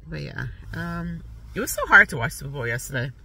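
A woman's voice: deep voice, big pitch swings, brisk, creaky, far from the mic, a little hiss or hum, rough recording. A woman in her thirties with a stuffy nose, chatting casually to the camera from a parked car. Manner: chatty, rambling, soft-spoken with fillers. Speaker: vlogger. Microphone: phone camera on dashboard.

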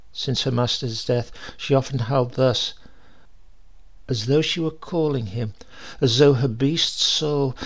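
Gravelly man reading a grim tale. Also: mid-range voice, fairly bright tone. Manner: measured, grave, slow phrasing. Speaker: reader of a short story. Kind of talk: dramatic storytelling narration.

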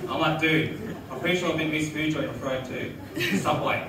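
Stand-up comic, heard from the back of the room. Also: male voice, a little high, fairly quick, heavy background noise. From Australia. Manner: deadpan one-liners with laughing asides. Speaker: stand-up comedian. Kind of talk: stand-up comedy set. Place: small restaurant bar, live audience. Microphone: handheld mic, filmed from far back. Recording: echoey room from far off, loud crowd.

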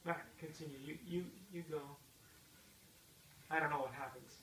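A middle-aged parent talks casually and patiently, coaching a child through a game with plenty of encouragement. The voice is medium in pitch and comes from far off in an echoey, noisy room. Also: steady tone, normal speed, bright, forceful tone. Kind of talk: casual chat while watching gameplay. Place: indoor room at home with a TV. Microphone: distant camera mic.

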